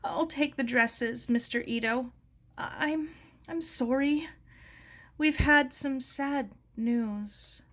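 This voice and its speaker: A woman voicing a firm, compassionate middle-aged aunt in an earnest, measured way. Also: high voice, a bit bright, pitched above the performer's own voice, full character voice. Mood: dazed, apologetic.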